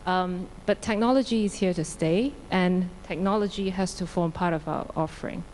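A woman in her forties from Singapore speaks as a lawyer on a conference panel, holding a handheld mic. Her voice sits low and mellow, and she talks in a measured way with frequent small fillers. Faint room noise is in the background. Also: rough recording. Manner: warm, measured, with many fillers. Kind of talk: panel discussion. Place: large conference stage.

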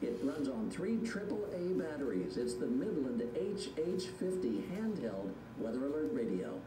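Lively male promo presenter, echoey and distant. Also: medium pitch, moderate pace. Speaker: product presenter. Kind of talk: scripted product promo. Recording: distant, echoey, noisy computer speaker playback.

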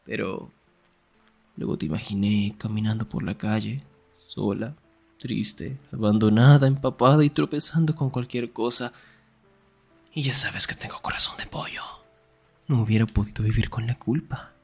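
A man acting a young, sarcastic and jealous best friend in an ASMR roleplay, teasing in a breathy voice that often drops toward a whisper. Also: fairly low pitch, moderate pace, darkish tone, soft background music. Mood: wry, teasing.

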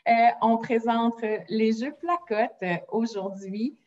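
A woman's voice: fairly high pitch, slow, a little mellow. A woman in her forties from Quebec with a Québécois French accent, presenting educational games in a friendly webinar over a video call. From Quebec, Canada. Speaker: game company presenter. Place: room at home with window blinds. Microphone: laptop mic on video call. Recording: muffled video call audio.

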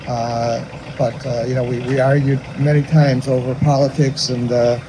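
An older man with an American accent giving an informal eulogy in a halting, conversational way outdoors. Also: medium pitch. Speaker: funeral eulogist. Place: outdoors under a cemetery tent. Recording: steady outdoor background noise.

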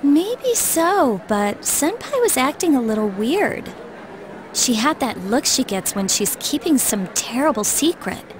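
Perky, teasing schoolgirl, game drama; high, lively pitch, casual delivery, faint noise behind. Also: unhurried. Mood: curious. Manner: casual, expressive. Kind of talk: scripted game voice acting.

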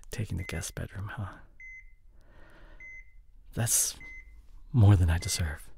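A young man playing a remorseful drinking boyfriend in an intimate audio roleplay, speaking in a halting, apologetic, soft and pleading way. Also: low voice, vocal fry, background noise, exaggerated voice. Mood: pleading, subdued.

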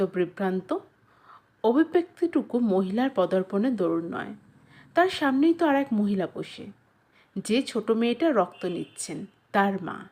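Female audiobook narrator, higher register, very animated intonation, measured phrasing with dramatic pauses. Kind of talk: expressive scripted narration of a literary short story.

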